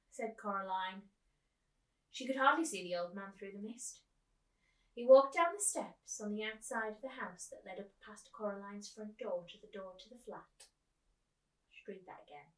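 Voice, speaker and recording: A woman's voice: medium pitch, recorded from a distance. A woman reading a children's book aloud in a storytime style. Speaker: storytime reader, teacher. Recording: echoey room, away from the mic.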